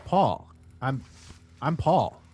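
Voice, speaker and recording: A man's voice: mid-range voice, big pitch swings, drawn-out words, a little mellow, slight background noise. A man playing a defensive, jargon-loving marketing director in a comic musical audio drama. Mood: awkward, hurt. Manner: earnest, rattles off buzzword lists.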